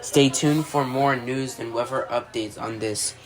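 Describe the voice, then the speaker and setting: An amateur announcer reads mock weather and emergency alerts in a mid-pitched voice. The delivery is halting, with stumbles and repeated phrases. There is some faint noise behind the voice.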